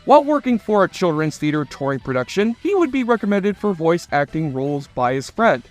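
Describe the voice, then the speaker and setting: A man's voice: on the high side, sing-song, brisk. A man reading scripted narration for a retrospective video documentary. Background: faint music.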